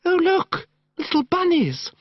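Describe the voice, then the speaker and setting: A man reading an audiobook, voicing a breathy, sentimental young woman who sighs and drawls out her words. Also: extremely high pitch, wildly swooping pitch, dry recording, muffled recording, voice pushed up, full character voice. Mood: gushing. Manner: breathy, sighing, drawn-out gushing. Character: gushing sentimental young woman, dreamy and whimsical. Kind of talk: audiobook character voice.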